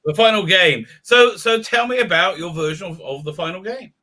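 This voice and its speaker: A man chatting on a video call as interviewer, excitable and tripping over his words. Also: sits high, normal speed. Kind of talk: casual video chat.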